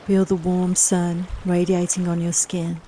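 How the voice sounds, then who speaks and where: A woman leading a guided relaxation meditation in a soft, soothing, unhurried, reassuring manner, with long pauses between phrases. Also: on the low side, monotone, slight background noise, rough recording. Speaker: guided meditation and yoga nidra teacher.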